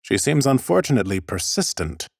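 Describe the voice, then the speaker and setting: A man's voice: medium pitch, big pitch swings, fairly quick, gravelly, slightly rough, no echo, clean studio sound, pitched above the performer's own voice, heavily acted. A man voicing an ancient, mighty lich in a fantasy audiobook, bookish and courteous, with a formal, pompous manner. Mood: polite, curious. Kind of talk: audiobook character acting.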